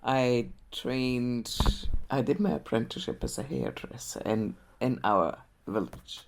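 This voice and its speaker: A woman's voice: rumbling low, a little slow, gravelly. Older Austrian woman reminiscing. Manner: hesitant, many fillers and restarts. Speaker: interviewee. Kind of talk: reminiscing interview.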